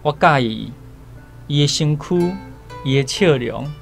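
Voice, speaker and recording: A man's voice: a little high, a little slow, vocal fry, faint music behind. An actor with a Taiwanese accent playing a devoted, earnest young island man in love in a literary radio drama. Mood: adoring.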